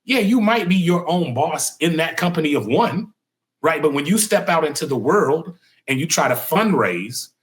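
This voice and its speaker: A middle-aged man hosting a podcast interview, talking casually and acting out quotes in a lively, raised voice. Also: a little high, normal speed. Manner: animated, acts out quotes in a raised voice. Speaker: podcast host.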